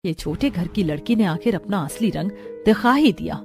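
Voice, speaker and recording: A woman acts out a rich matriarch in a moral story. She is commanding and protective, mid-pitched, with soft music underneath. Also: animated, moderate pace, gravelly. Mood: indignant. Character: imperious, protective wealthy mother-in-law.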